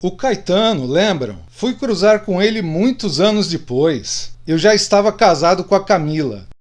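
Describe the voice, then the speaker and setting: A man acts out a middle-aged Brazilian friend, a little drunk and thoughtful. His voice is slightly higher than most men's. He speaks plainly and confidingly, in radio drama style. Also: expressive, moderate pace. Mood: regretful.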